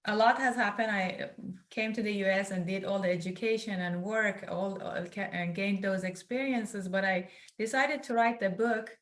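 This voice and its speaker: A young woman from northeast Iran, an author introducing herself and her book as a guest, earnest and grateful, speaking in quick bursts over a video call. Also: medium pitch, steady tone. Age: young adult.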